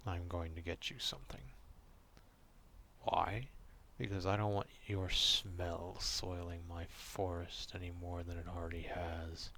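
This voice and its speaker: A man acting out a gruff, proud, distrustful werewolf in an ASMR roleplay, stern and curt but grudgingly caring, in a gravelly half-whisper. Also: sits low, fairly even intonation, measured pace, pushed and bright, slight background noise, full character voice. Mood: curt, irritated.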